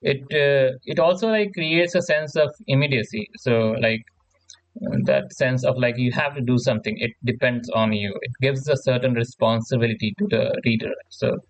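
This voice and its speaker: A man with a mid-range voice explains material like an informal online tutor. He speaks haltingly, circles back over his phrases and keeps checking that the listener follows. The sound is dry and close, slightly dull and lo-fi. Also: medium pitch, steady tone, no echo. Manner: halting, repetitive, often seeks agreement with "right?". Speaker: tutorial presenter. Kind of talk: informal lecture explaining slides.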